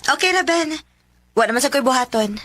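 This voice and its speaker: Bright, high-voiced young Cebuano woman. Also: expressive, a little fast. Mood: friendly, coy. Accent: Cebuano Filipino. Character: young woman Celine, friendly and agreeable. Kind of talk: Filipino radio drama acting.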